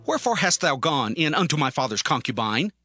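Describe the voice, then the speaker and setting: Accusing Hebrew monarch, reproachful, archaic phrasing, dramatized scripture. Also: male voice, fairly high pitch, very animated, brisk, sharp tone, slightly rough, no room sound, studio quality, faint music behind, heavily acted. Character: accusing ancient Hebrew king.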